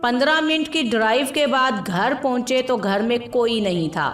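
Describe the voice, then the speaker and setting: A woman's voice: a little high, normal speed, fairly bright tone. A woman reading a scripted moral story as its narrator. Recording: roomy echo with music behind. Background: music.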